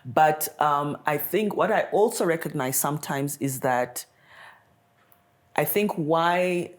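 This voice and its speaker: Low-voiced woman chatting as a guest. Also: slow pace. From Kenya and South Africa. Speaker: podcast guest. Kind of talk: casual conversational interview talk.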